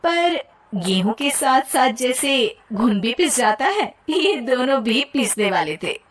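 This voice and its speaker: A woman narrating a cartoon moral story in a plain, even storytelling manner. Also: high-pitched, measured pace, a crowd in the background.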